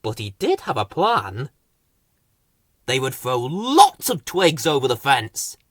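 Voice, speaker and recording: A woman reads a children's story in a low voice with hugely varied pitch. She takes her time over dramatic phrases. The recording is very dry. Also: big pitch swings, slow, husky, no echo. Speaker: storybook reader. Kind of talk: expressive scripted children's story narration.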